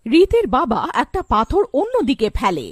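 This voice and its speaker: A woman reading scripted narration for a children's cartoon story in an animated storytelling style. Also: high-pitched, moderate pace, no echo, clean studio sound.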